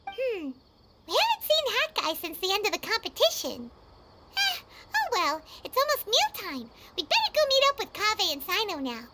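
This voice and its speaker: A woman voicing a tiny, excitable, food-loving childlike fairy companion in an anime video game, bubbly and exclaiming. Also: extremely high pitch, moderate pace, heavy background noise, full character voice. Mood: excited. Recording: loud playful music behind.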